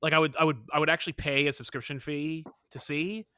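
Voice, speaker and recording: Male podcast co-host, higher-pitched and very lively, chatting casually in a dry, close sound. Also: quick pace, clear, resonant tone, no echo. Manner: animated, exclaiming, acts out imagined quotes. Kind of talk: casual geek-culture podcast chat.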